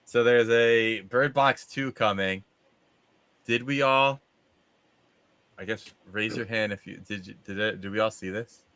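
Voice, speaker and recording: A man's voice: on the low side, unhurried, long pauses, pushed and bright, smooth, no echo. A man hosting a movie discussion show on a video call, chatting casually. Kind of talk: casual chat.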